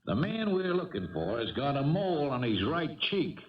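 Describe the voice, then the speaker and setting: A man's voice: a little high, sing-song, normal speed. Muffled, slightly distant old radio sound frames an American patrolman in a 1970s crime drama. He is a sheepish, plain-spoken man whose voice rises and falls freely as he halts and apologizes. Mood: earnest. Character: sheepish, earnest, self-reproaching police patrolman.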